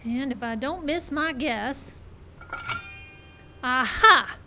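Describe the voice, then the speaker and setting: Actress for sharp Wild West woman detective, high voice, confident old-time radio delivery, faint live-stage noise. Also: animated, takes it slowly, heavily acted. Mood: confident, explaining. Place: live show in a park. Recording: live outdoor stage, some background noise.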